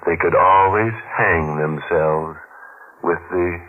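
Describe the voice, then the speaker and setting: A man playing a ghoulish, sardonic radio horror host with morbid humour and a mock-genial, drawn-out delivery in 1940s style. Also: deep voice, steady tone, a little hiss or hum. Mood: sardonic teasing. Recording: muffled 1940s radio broadcast with music.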